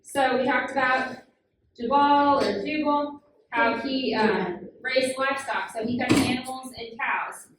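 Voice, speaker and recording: A woman's voice: high voice, steady tone, measured pace. Echoey, distant woman teaching children. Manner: patient, questioning, frequent "um" fillers. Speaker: children's Bible class teacher. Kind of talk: classroom teaching with questions to children. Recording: very echoey room, far from the mic, noisy.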